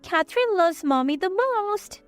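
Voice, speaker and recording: Woman voicing a very high, innocent little girl. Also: expressive, unhurried, dry recording, soft background music. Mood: concerned, loving. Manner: childlike, innocent. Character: sweet, caring little girl.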